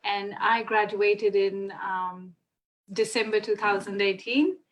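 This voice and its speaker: A woman's voice: medium pitch, fairly even intonation, slow, rough recording. A woman hosting a casual spiritual chat on a live video call from home, effusive and laughing often. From Australia. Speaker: host.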